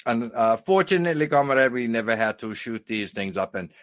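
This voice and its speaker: A man's voice: medium pitch, animated, a little fast, fairly bright tone, dry recording, studio quality, natural voice. A man playing a boisterous retired Soviet major with a Russian accent, swaggering and joking in broken English as a comic radio host. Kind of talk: comic in-character radio interview.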